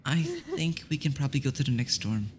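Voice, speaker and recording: A very deep-voiced young investigator played by a woman in an animated mystery, reserved and level-headed. The delivery is understated, with faint noise behind it. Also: brisk. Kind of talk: voice acting in an animated murder mystery.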